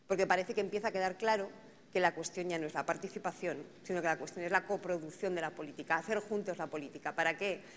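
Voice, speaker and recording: A woman's voice: on the low side, fast talker, a little hiss or hum. A woman moderating a conference panel, thinking aloud and often checking in with tag questions. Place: conference hall. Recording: conference hall reverb, faint ambience.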